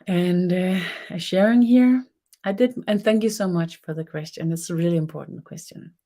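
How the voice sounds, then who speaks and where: A middle-aged Danish woman speaks English with a clear Danish accent, leading a spiritual webinar. Her voice is mellow and on the low side. She talks gently and unhurriedly, often stopping and restarting while she hunts for the right word. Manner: soft, unhurried, searching for words with restarts. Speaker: spiritual teacher. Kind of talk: webinar teaching.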